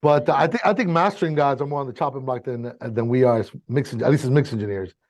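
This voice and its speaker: A man in his fifties, born in Chile and raised in Miami, whose first language is Spanish, telling his story as a guest in a casual, slangy, animated way. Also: medium pitch, quick pace, no room sound. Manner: animated, slangy, swears freely. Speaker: mixing engineer guest.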